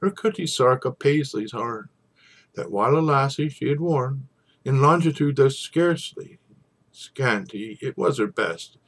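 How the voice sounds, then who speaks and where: Slow, gravelly man reciting poetry dramatically. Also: medium pitch, lively intonation, fairly bright tone. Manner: theatrical, storytelling delivery with dramatic pauses and swells. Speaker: poetry reader. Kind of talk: dramatic recitation of an old Scots narrative poem.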